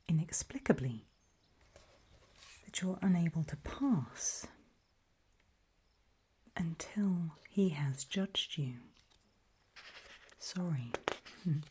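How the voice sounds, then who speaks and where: A woman voicing a calm, ominous gatekeeper in a hushed, breathy ASMR roleplay, speaking mostly in deliberate whispers with pauses. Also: fairly low pitch, full character voice. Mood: quietly instructive. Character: calm, ominous female gatekeeper briefing travelers. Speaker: ASMR performer.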